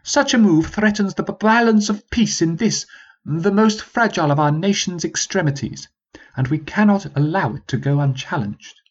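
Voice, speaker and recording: A man's voice: high-pitched, normal speed, husky, muffled recording, pitched above the performer's own voice. A man voicing a pompous, blustering army sergeant who stammers through grand speeches. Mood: rousing, pompous.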